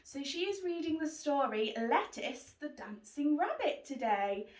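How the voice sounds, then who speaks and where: A woman's voice: high voice, sing-song, measured pace. A woman hosting a children's book club, chatting to young viewers and pets in a presenter's style. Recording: roomy echo, distant mic.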